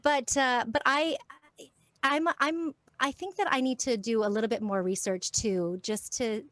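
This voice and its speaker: A middle-aged woman from the United States talking casually on a video call from her home, halting and restarting, laughing easily. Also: fairly high pitch, steady tone, fairly bright tone, dry recording. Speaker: voice actor guest. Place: home room with sound panels.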